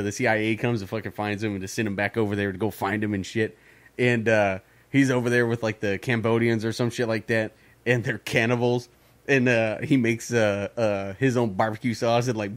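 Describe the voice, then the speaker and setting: A man co-hosting a podcast in casual chat, rambling and swearing, often laughing. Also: lowish voice. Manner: profane, rambling, laughs a lot. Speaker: podcast co-host.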